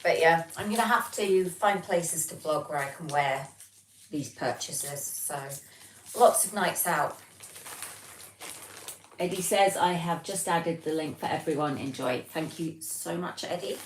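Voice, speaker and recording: British female streamer, low register, informal and chatty, recorded at a distance with background noise. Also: a little slow. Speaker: livestream host. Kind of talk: casual livestream chat, reading out viewer comments. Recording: roomy, distant mic, noticeable background noise.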